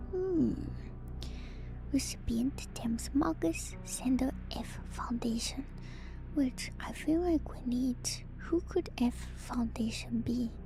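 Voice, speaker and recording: Whispering, slow-talking woman streamer. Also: a little high. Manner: hushed, unhurried, musing. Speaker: VTuber streamer. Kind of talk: whispered ASMR lore reading and commentary to viewers. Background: music.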